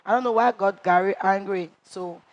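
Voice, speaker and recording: A woman plays a brash, dramatic reality-show contestant. She tells her side with lively storytelling and acts out everyone's lines. Her voice sits in a middle pitch. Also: fairly quick, dull audio, lightly acted. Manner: animated storytelling, acts out quotes.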